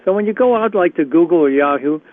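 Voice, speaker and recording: A man with a slightly raspy voice leading a career workshop on a conference call, chatty and encouraging with frequent restarts. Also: fairly high pitch, expressive, quick pace. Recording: tinny phone line.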